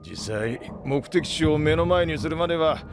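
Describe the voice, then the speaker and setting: An actor playing a gruff, grumbling freighter captain in a Japanese audio drama, with rough, slangy, irritable delivery. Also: male voice, fairly high pitch, lively intonation, moderate pace, over music. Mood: wry narration.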